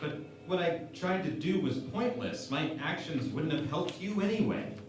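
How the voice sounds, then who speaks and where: A man's voice: on the high side, big pitch swings, moderate pace. A man playing a naive, sheepish hologram crewman in a sci-fi parody radio play, hesitant and understated. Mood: sheepish, indignant. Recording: echoey, distant, music underneath.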